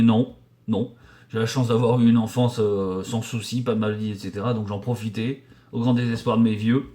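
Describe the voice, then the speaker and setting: A man in his forties from the Paris region answers viewer questions about his work as a painter and decorator. He talks in a loose, joking way with crude humor, many fillers and restarts. His voice sits at an ordinary middle pitch. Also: dark tone. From Paris region, France. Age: 40s. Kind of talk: casual vulgar chat.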